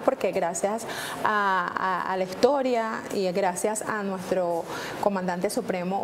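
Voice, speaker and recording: A woman's voice: medium pitch, noisy background. A Venezuelan woman hosting a TV legal program, speaking in a polished, formal, announcer-like way. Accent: Venezuelan Spanish. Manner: polished, announcer-like, slows down for numbers. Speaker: TV host and lawyer. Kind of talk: formal TV presenting.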